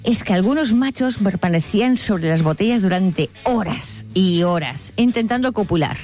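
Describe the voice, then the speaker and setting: A woman hosting a podcast, telling an animated, comic story and acting out quotes. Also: medium pitch, normal speed, faint music behind. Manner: animated, acts out quotes in funny voices. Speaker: podcast host.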